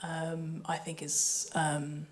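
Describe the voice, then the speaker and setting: Thoughtful woman with lowish voice. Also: completely flat delivery, extremely slow. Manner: tentative, thoughtful, hedging. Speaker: audio drama maker on a panel. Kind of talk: unscripted panel discussion.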